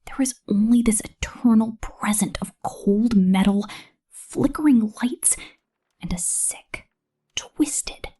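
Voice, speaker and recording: A woman performing whispered ASMR roleplay as a possessive, menacing female killer robot, with a breathy, theatrical delivery. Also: a little high, takes it slowly, mellow.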